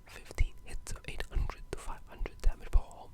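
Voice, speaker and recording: The voice is a pure breathy whisper with no tone behind it. The delivery is an informal ASMR ramble, halting and loosely strung together, like a gamer chatting quietly. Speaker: video game ASMR creator.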